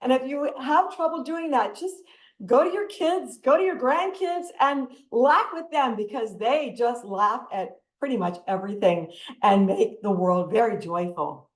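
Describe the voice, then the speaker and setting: A woman guides breathing and arm movements, often breaking into bursts of laughter. Her voice sits on the higher side. She speaks in an echoey room over a video call. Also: a little slow. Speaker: laughter yoga instructor. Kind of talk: guided exercise instruction. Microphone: computer mic on a video call.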